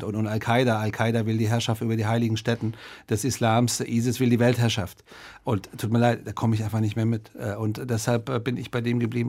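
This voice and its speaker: Iranian-born politician in his 40s, animated, lots of uh's and restarts. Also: medium pitch. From Iran. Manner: animated, many restarts and fillers. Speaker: member of parliament, guest. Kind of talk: radio interview.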